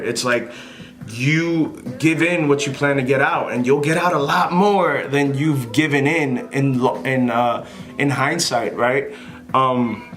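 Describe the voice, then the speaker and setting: A man speaks casually to his camera with a mid-range voice and clear background music. He gives unscripted advice like a vlogger, wandering from point to point in an informal, apologetic way. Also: mid-range voice, fairly bright tone. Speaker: vlogger giving airline interview advice.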